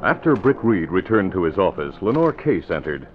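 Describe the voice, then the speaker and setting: Deep-voiced dramatic radio serial announcer. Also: male voice, sing-song, normal speed, over music. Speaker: announcer and narrator. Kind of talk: 1940s radio narration, scripted and dramatic. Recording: tinny vintage radio recording.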